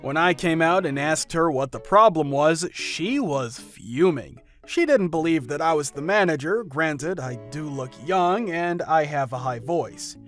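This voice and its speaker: A man narrating first-person workplace stories from a script, with sudden jumps up in pitch and loudness mid-sentence. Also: lively intonation, measured pace, few pauses. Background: faint background music.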